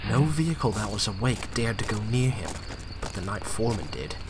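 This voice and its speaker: A man's voice: on the low side, sing-song, fairly quick, background noise. A man narrating a spooky railway audio drama as a scripted storyteller. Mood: measured, ominous. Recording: loud music under the voice.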